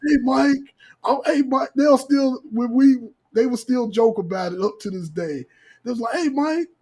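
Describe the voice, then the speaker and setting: A man's voice: very high voice, normal speed. An American man hosting a podcast, telling comic stories among friends in a profane, slangy way and acting out what people said. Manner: animated, profane, slangy, acts out quoted exchanges. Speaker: podcast host.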